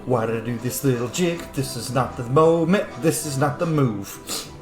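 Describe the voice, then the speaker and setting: A man's voice: mid-range voice, moderate pace, music in the background. A man hosting a gaming let's play, talking casually and jokingly, with some swearing. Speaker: host of a gaming channel. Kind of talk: casual let's play commentary, role-playing in-game dialogue. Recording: roomy echo, faint background noise.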